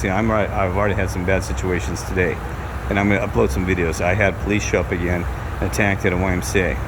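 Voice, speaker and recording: A man's voice: lowish voice, noisy background. A man rambling in a spiritual monologue, repeating himself and restarting his sentences. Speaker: vlogger.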